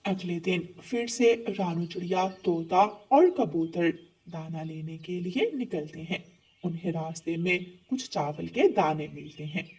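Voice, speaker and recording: A woman's voice: on the low side, unhurried, a little mellow, slightly rough, far from the mic. A woman giving scripted narration for a children's story.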